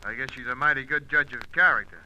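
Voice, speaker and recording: An actor playing a brash, impatient, wisecracking American pilot for hire with slangy talk, heard over a telephone in a radio serial. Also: male voice, mid-range voice, fast, pushed and bright, slight background noise. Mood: sardonic, suspicious. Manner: blunt, slangy tough-guy talk. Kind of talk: old-time radio adventure serial acting. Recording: dull, band-limited phone-call sound.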